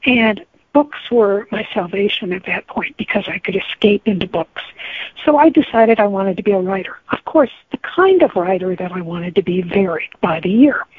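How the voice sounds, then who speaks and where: Older American female interviewee, slightly rough-textured voice, conversational anecdotes, narrow phone-quality audio. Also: medium pitch, expressive, moderate pace, no room sound. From United States. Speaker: guest, novelist. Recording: thin phone-like connection.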